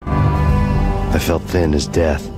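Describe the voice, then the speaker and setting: Bitter American hardboiled cop, game voice-over: very low, monotone, sardonic, gritty. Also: male voice, extremely slow, heavily acted. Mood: grim, brooding. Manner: deadpan, brooding, sardonic. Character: bitter, haunted hardboiled male cop narrating his revenge. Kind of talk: noir detective voice-over narration. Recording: loud music bed behind voice.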